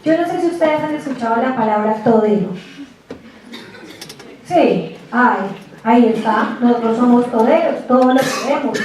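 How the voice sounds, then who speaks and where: A woman giving a university lecture, chatty and lively, often asking the class rhetorical questions. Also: on the high side, normal speed, a little hiss or hum. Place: lecture room. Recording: echoey hall, distant mic.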